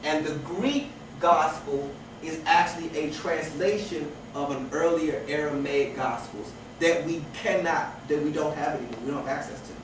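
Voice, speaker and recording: A man teaching a class, lecturing with many restarts and rhetorical questions. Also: a little high. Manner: repeats and restarts phrases, asks rhetorical questions. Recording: echoey room with noise from far off.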